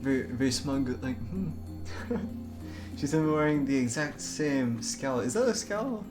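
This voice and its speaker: A man's voice: mid-range voice, expressive, measured pace, over music. A man who streams games, chatting casually and reading aloud, often giggling as he talks. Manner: giggly, breaks into laughter while talking. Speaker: game streamer. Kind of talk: casual live stream commentary with read-aloud.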